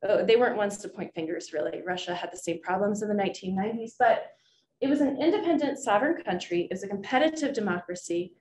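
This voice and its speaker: A woman's voice: mid-range voice, lively intonation, quick pace, a little mellow. A woman political science professor giving a lecture to a room from her slides, with many ums and restarts. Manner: frequent ums and uhs, restarts, explanatory. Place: lecture room with projector screen. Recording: echoey room, faint background noise.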